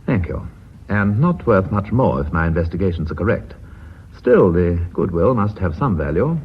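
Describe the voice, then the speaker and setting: An actor playing an authoritative, coolly confident British company chairman in a radio drama, speaking in a measured, formal, drily ironic way. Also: deep voice, sing-song, breathy edge, heavily acted. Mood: cool, assertive. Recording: muffled vintage radio drama recording.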